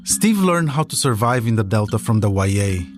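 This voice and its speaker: A man's voice: lowish voice, sing-song, normal speed. A man hosting a podcast, reading scripted documentary narration as a storyteller. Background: music.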